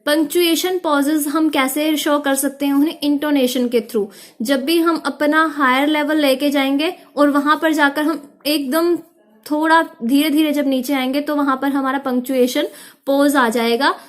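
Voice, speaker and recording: A young woman teacher giving a video lesson on speaking skills, explaining steadily and stating short tips slowly. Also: sits high. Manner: explains in mixed Hindi and English, reads out English tips slowly. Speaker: IELTS teacher.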